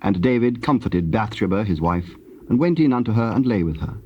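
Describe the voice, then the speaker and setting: A man with a husky voice narrating scripture in a solemn, scripted style. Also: on the low side, lively intonation, brisk. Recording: dull, lo-fi tape sound.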